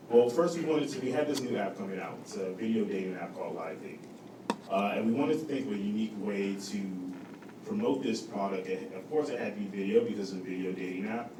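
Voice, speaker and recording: A man with a fairly low voice talks through his work in a conversational way, as a guest at a panel. The microphone is some way off, so the room echoes around him and noise is clearly audible. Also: far from the mic. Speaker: guest speaker. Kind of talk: conversational explanation at a panel talk. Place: indoor event room with audience.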